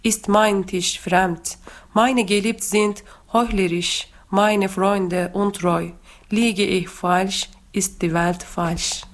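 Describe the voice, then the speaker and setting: A woman from Turkey with a Turkish accent, a teacher speaking careful, halting non-native German as she talks and reads poetry. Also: medium pitch, steady tone. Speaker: guest teacher reading poetry. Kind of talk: personal talk and poetry reading.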